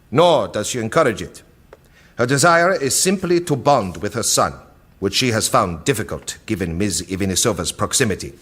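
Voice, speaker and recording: A man acting in an audio drama as a cold, efficient project director, delivering a briefing in a precise, deliberate way. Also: fairly low pitch, a bit bright, breathy edge. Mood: grave, detached.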